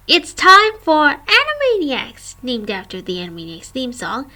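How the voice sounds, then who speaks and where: A woman's voice: high-pitched, pushed and bright. A woman in her twenties, a vlogger reading a scripted history and reciting long lists of names and dates. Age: 20s.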